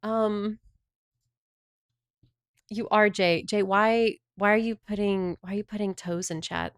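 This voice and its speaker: A chatty woman streamer from the American South talks loosely with her viewers, rambling from one thought to the next as she answers their messages. Her voice sits at an ordinary, middle pitch for a woman. The sound is dry and close, with no room echo. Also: steady tone, slow pace, clean tone. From Southern United States. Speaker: livestreamer. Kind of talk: casual livestream chat with viewers.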